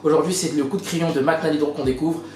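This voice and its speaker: A man's voice: fairly high pitch, fairly even intonation, quick pace, darkish tone, a little hiss or hum. A man hosting a show, giving a scripted, upbeat intro. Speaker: show host. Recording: echoey, music behind. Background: music.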